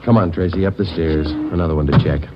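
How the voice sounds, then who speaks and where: An actor playing a dogged, stoic police detective in a 1940s radio drama, speaking in clipped, matter-of-fact, deadpan sentences. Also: male voice, deep voice, fast, very noisy, natural voice. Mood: firm. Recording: muffled old radio recording with music.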